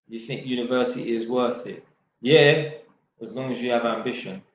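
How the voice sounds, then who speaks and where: A man's voice: medium pitch, fairly quick, muffled recording. A man reads out viewer questions and answers them bluntly in casual, slangy chat, swearing freely and joking. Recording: very echoey room.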